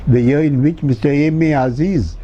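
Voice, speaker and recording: A man's voice: a little high, normal speed, mellow, muffled recording, slight background noise. An older man from Sri Lanka, whose home language was Tamil, recalling his career in a life-story interview with many hesitations. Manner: reminiscing with many hesitations and "you see".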